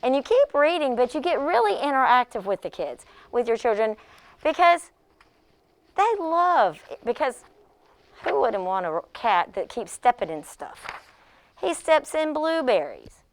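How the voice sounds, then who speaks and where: A woman who teaches pre-K, demonstrating an interactive read-aloud in an expressive manner, as if speaking to young children. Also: high-pitched, a little slow.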